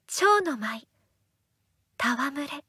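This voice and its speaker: A woman voicing a calm young swordswoman in an anime-style game, sweetly polite with a sly, needling edge. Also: sits high, drawn-out words, over loud music, full character voice. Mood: sweetly teasing. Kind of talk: anime-style mobile game character lines.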